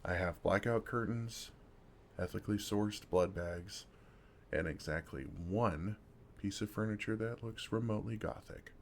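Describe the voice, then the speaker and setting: Male actor as older vampire, deep register, fry at the edges, kind, dryly funny, unhurried, close ASMR roleplay delivery. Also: slight background noise. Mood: wry, protective. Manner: gentle, dry self-deprecating humor, unhurried pauses.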